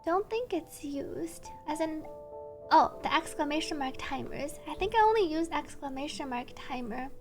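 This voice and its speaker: A woman's voice: high-pitched, lively intonation, normal speed. A woman streaming a game, chatting playfully and reading on-screen text aloud in a storytelling way over game music. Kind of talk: live gameplay commentary, reading subtitles and narration aloud. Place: indoor stream setup with game music behind.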